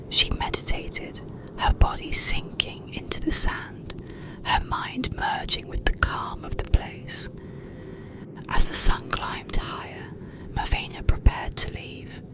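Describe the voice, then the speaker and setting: A narrator reading a bedtime story in a breathy, unvoiced ASMR whisper, soothing, with pauses between short phrases. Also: medium pitch, low-quality recording.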